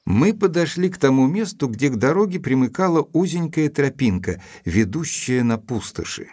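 An actor playing a reserved, sceptical gentleman investigator who tells his story in a measured way in an audio drama. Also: medium pitch, big pitch swings. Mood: narrating gravely. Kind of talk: dramatised first-person narration and dialogue.

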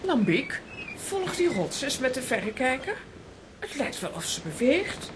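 Casting: commanding female adventurer for children's comic drama, high pitch, brisk and bossy, faint noise behind. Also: sing-song. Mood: curious, commanding. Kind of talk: children's audio drama acting.

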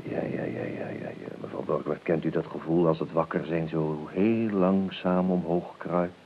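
Actor as deep-voiced, hungover, melodramatic gentleman on old radio. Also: steady tone, natural voice. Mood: lyrical, peevish. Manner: halting, fussy, theatrically weary. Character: grumbling, hungover, melodramatic late-sleeping gentleman, lyrical and self-pitying. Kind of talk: Dutch radio play acting. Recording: narrow, hissy old radio recording.

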